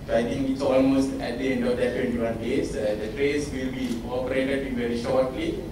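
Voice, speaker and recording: A man gives a briefing at a business lunch, pausing often with uh between phrases. His voice sits at a middle pitch. He is recorded from a distance in a very reverberant room, with steady noise behind him. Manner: halting, many uh fillers, thankful. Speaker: industry association representative. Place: hotel conference room. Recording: echoey hall from far off, noisy.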